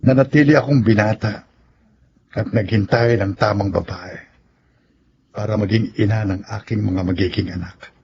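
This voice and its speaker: A man's voice: fairly low pitch, steady tone, moderate pace, pauses often, darkish tone. A man playing an earnest, emotional young man in a Tagalog radio drama. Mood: apologetic, regretful. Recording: muffled lo-fi with clear noise.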